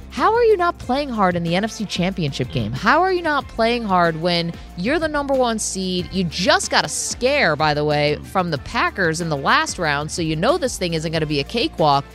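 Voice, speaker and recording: A woman co-hosting a sports talk show, chatting casually with quick asides and frequent laughs. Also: mid-range voice, fairly bright tone. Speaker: sports radio co-host.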